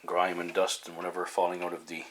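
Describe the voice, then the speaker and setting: Deep-voiced man, halting casual demonstration. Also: monotone, very noisy. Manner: halting, pausing often mid-sentence. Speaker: hobbyist host of a repair video series.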